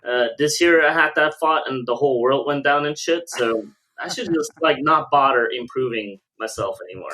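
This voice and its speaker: A man's voice: medium pitch, moderate pace, pushed and bright, a little hiss or hum, low-quality recording. A man co-hosting a podcast in a casual, slangy conversational style. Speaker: podcast co-host.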